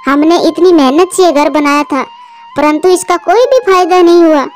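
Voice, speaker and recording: A woman voicing excitable, chatty female birds in a children's cartoon, with a dramatic manner. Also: very high-pitched, normal speed. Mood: dismayed. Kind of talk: Hindi children's cartoon dialogue. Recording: music behind the voice.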